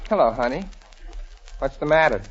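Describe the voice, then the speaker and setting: A man's voice: medium pitch, lively intonation, normal speed, natural voice. A man playing a lovestruck, hapless young college husband with an American accent in an old radio sitcom. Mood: casual. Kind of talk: 1950s-style radio sitcom acting. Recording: dull old radio recording.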